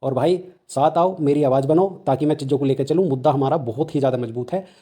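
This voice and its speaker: A man's voice: mid-range voice, fast talker, mellow. A young man with a North Indian accent talks informally to viewers, explaining in an insistent, colloquial way and repeating his points for emphasis. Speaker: exam-news channel host and candidate activist.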